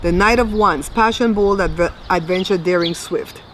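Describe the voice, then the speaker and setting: A woman's voice: lowish voice, normal speed, fairly bright tone. A middle-aged woman reading tarot on camera in a casual, colloquial, teasing way. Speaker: tarot reader and video host. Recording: noisy outdoor recording with animal sounds.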